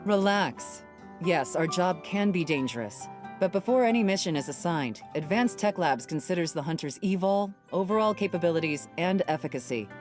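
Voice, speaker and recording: Game role: woman as authoritative squad captain, lowish voice, firm, matter-of-fact delivery, music behind. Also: expressive, normal speed, darkish tone, close to an everyday voice. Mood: reassuring. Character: composed, authoritative female captain of a hunter squad. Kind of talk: video game voice acting.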